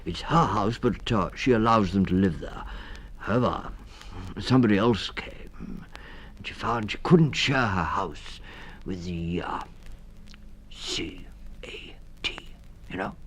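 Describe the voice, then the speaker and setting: A man's voice: fairly low pitch, slow, long pauses, fairly bright tone, breathy edge, voice pushed up, exaggerated voice. A man voicing a kindly, hesitant toad in a storybook, repeating his words and rambling, on a 1960s story record. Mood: confiding. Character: Mister Toad, kindly hesitant old male toad adviser. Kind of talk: storybook character reading.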